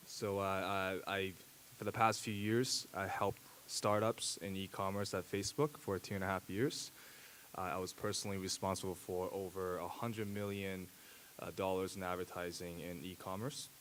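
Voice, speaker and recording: A man in his thirties, a native Japanese speaker, giving a conference talk at a podium with a handheld mic, pausing often with fillers and restarts. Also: deep voice, slight background noise. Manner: halting, many fillers and restarts. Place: large dark auditorium stage.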